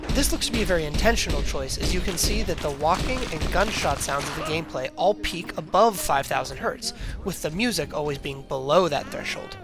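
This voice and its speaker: A man's voice: a little high, lively intonation, brisk, music in the background. A man reading a scripted analytical video essay in a formal, academic manner.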